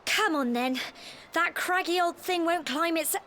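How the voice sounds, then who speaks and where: A woman's voice: very high-pitched, unhurried, soft background music, heavily acted. A woman playing a young, chatty village girl, anxious but plucky, in a video game. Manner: folksy, expressive.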